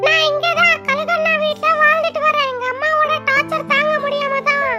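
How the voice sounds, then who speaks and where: Extremely high and squeaky, this is a sweet young female crow in a cartoon fable. She speaks with childlike earnestness and plucky politeness, over loud music. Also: level tone, brisk. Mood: concerned, candid. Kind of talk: Tamil moral-story cartoon dub.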